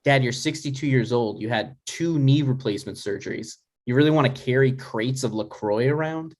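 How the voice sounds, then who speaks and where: A man playing a skeptical grown son with a dry, halting delivery in a conversational comedy table read. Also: mid-range voice, lightly acted. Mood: dry, teasing.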